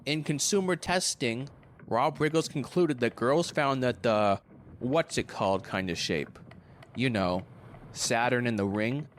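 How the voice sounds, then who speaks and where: A man's voice: medium pitch, lightly acted. Man playing a glib, needling ex-lover, faint noise. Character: glib, crude, wounded ex-lover, a married man. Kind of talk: conversational stage-play acting.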